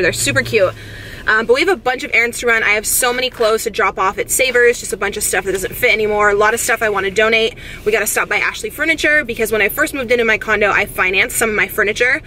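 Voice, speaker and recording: A woman's voice: fairly high pitch, bright, forceful tone. A young American woman chatting casually to her vlog camera at arm's length, effusive and slangy. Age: young adult. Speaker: vlogger. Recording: faint background noise.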